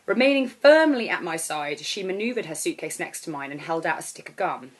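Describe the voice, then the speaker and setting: Woman author with a lowish voice, reading. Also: expressive, a little fast. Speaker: author reading own novel. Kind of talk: expressive storybook narration.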